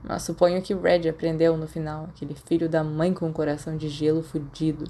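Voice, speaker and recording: A woman's voice: low voice, level tone, fairly quick, dark tone. A woman voicing a tipsy young man in an audiobook, rueful and bitter as he rambles in slang. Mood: bitter, rambling. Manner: slangy, self-deprecating, drunken rambling. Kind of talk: audiobook character dialogue.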